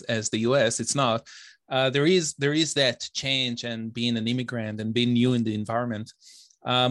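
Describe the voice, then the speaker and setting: A man's voice: mid-range voice, fairly bright tone, dry recording, clean studio sound. A man in his forties with an Israeli accent, answering interview questions with many fillers and restarts. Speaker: author guest. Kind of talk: interview conversation.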